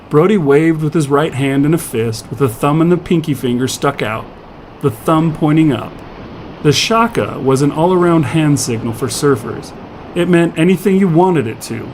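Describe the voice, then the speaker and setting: A man's voice: a little high, normal speed, a little mellow, a little hiss or hum, low-quality recording. A man narrating scripted fiction as a storyteller.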